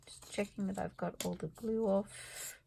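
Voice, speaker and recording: A woman losing her voice, talking quietly and thinking aloud as she works on a craft project in her studio. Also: medium pitch, steady tone, measured pace, creaky, background noise. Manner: quiet, murmured asides to herself while working. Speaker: craft vlogger. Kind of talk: soft-spoken craft-along commentary, thinking aloud.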